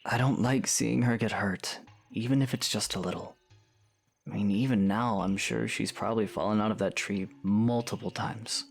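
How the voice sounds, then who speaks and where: An actor playing a calm, protective young hero in an audio drama, terse and matter-of-fact. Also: male voice, lowish voice, normal speed, gravelly. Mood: protective, earnest. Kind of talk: fan audio drama acting.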